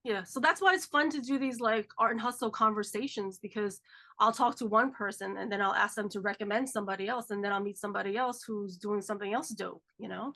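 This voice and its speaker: A woman's voice: medium pitch, quick pace, bright, forceful tone. A woman in her forties hosting a podcast, chatty and filler-heavy, sounding nasal from a cold. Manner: chatty, lots of "like" and "um". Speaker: podcast host. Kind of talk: casual chat.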